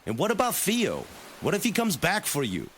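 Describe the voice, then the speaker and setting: A man acting an exasperated small-business boat owner in an audio drama. He is sarcastic and quick to flare up, with a lively, swooping, slightly high voice. Faint noise sits behind him. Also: exaggerated voice. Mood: resigned, worried. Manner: animated, exclaiming, sarcastic.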